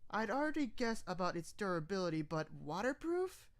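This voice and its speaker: A woman voicing a fashion-minded, curious female cartoon pony, hushed and inquisitive. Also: medium pitch, very animated, normal speed, lightly acted.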